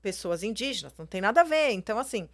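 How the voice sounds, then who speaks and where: A woman's voice: medium pitch, big pitch swings, fast. A Brazilian Portuguese-speaking woman, a plastic surgeon, explaining her views in a casual interview, full of fillers and tag questions. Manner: explanatory, frequent filler words, tags questions with "né" and "entendeu".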